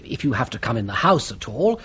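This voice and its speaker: An actor playing a stuffy, blustering middle-aged son with an upper-class English accent in a British radio drama. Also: male voice, medium pitch, very animated, fairly quick, fairly bright tone, husky, exaggerated voice. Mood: stuffy, suspicious. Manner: pompous, blustering, hesitant. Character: stuffy, status-conscious middle-aged son, awkwardly suspicious. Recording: dull, band-limited radio recording.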